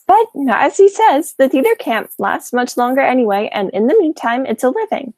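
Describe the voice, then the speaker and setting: Young woman playing a fluttery, high-voiced sweet old aunt. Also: very animated, normal speed, darkish tone, dry recording. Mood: sweet, chatty. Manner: genteel, fluttery, coaxing. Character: sweet, fussy, chatty elderly aunt, blithely cheerful. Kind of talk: high school radio play acting.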